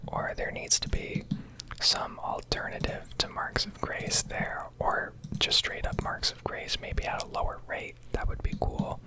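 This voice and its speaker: A woman whispering a rambling, casually sweary ASMR commentary over gameplay, close to the microphone. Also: rough recording. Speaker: game streamer.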